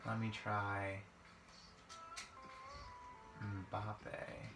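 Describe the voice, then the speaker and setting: A man's voice: fairly low pitch, completely flat delivery, very slow. A young man doing casual gameplay commentary in a hesitant, rambling, informal way. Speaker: gaming video creator. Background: guitar music.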